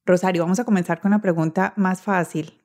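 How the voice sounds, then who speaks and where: A woman's voice: fairly low pitch, steady tone, brisk, darkish tone. Woman hosting an interview. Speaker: interview host.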